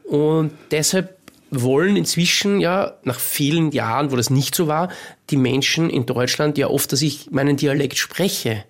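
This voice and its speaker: A man's voice: a little high, measured pace. A man in his forties with a Styrian Austrian accent, a screenwriter guest talking candidly and bluntly, often restarting his sentences. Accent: Styrian Austrian German. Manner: candid and blunt, many restarts, frequent "weißt du". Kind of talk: casual interview conversation.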